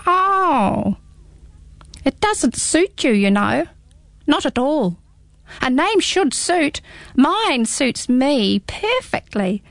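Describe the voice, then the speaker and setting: A prim little storybook hedgehog, as a woman puts him on, talking in a high, lively squeak. The voice is chatty and fussy and swoops a lot. It sounds close and dry. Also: very slow, pauses often, no room sound, heavily acted. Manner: talkative, prim, rambling. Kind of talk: storybook read-aloud.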